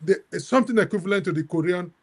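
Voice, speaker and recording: A man moderating a book discussion in an academic way, halting and repeating words as he builds his point. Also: sits high, animated, slightly rough.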